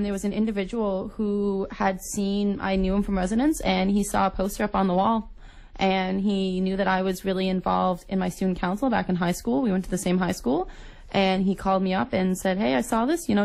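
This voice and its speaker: A woman's voice: medium pitch, steady tone, a little fast, creaky, a little mellow, poor audio. A woman in her twenties from Nova Scotia, an enthusiastic guest chatting casually in an interview. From Nova Scotia, Canada. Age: 20s.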